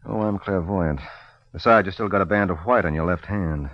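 An actor playing a wry, defiant detective hero in an old radio crime drama, with a dry, cocky way of talking. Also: male voice, low voice, fairly quick. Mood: probing. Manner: dry, wisecracking. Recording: dull old radio recording.

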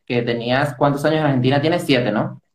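Hesitant male host in an echoey room. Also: mid-range voice. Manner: hesitant, halting questions with fillers. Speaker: interview host. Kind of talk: interview questions. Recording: roomy echo with faint music.

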